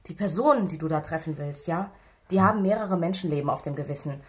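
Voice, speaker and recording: An actress playing a stern, worried policewoman in a radio drama, firm, admonishing and dryly sarcastic, with a husky voice. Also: low-pitched, expressive, fast talker. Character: stern, worried female police colleague, scolding and maternal.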